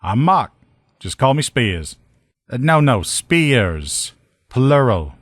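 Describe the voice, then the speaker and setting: A man's voice: medium pitch, sing-song, slow, no echo, clean studio sound. A man playing an upbeat, loyal army lieutenant and armor mechanic, with folksy, informal soldier talk, as video game dialogue. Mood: friendly, easygoing.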